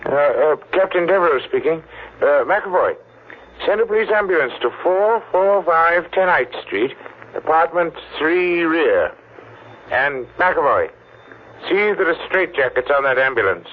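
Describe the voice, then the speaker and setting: An actor playing a brisk, skeptical police captain, dry and official, in 1940s radio crime drama style. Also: male voice, a little high, lively intonation. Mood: skeptical, businesslike. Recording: phone-like vintage radio recording, faint noise.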